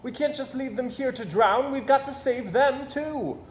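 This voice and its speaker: A man voicing a worried, kind-hearted ship captain, pleading in a storybook reading. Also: normal speed. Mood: anxious pleading. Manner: pleading, raised pitch. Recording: roomy echo, faint background noise.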